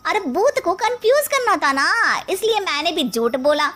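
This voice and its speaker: Woman playing a brash high-pitched young Hindi cartoon heroine. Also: big pitch swings, moderate pace, bright, forceful tone, full character voice. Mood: playful, bold. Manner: brash, street-smart slang. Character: feisty, fearless young woman Laxmi speaking Mumbai street slang. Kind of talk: Hindi cartoon story dialogue.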